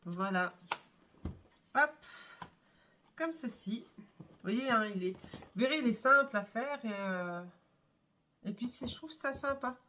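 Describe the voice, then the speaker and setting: A woman with a cold showing her scrapbook albums in a casual, chatty way, with many fillers and pauses. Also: on the high side, animated, bright, forceful tone, slight background noise. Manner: chatty, hesitant, many fillers and "hop, voilà". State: has a cold, congested. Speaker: scrapbooking vlogger. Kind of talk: casual show-and-tell craft video.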